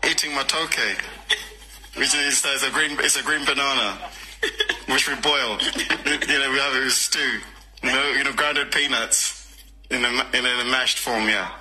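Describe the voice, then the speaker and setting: A man's voice: medium pitch, steady tone, a little slow, pauses often, bright, forceful tone, rough recording. A Ugandan man answering street interview questions bluntly and offhandedly, laughing between answers.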